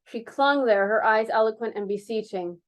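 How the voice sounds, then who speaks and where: A woman reads a story aloud in a fairly high voice. Her delivery is animated and theatrical, and she steps out of the text for amused comments of her own. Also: normal speed. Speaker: reader of a children's mystery novel. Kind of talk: expressive read-aloud with amused asides.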